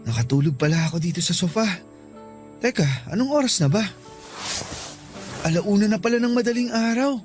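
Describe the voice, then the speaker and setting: Young male lover for radio romance, played by a man: earnest, imploring, soft music bed underneath. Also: high-pitched, animated, moderate pace, pauses often. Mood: sleepy, surprised. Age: young adult. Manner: pleading, earnest. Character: earnest young man, pleading suitor and concerned boyfriend. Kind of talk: Tagalog radio love drama acting.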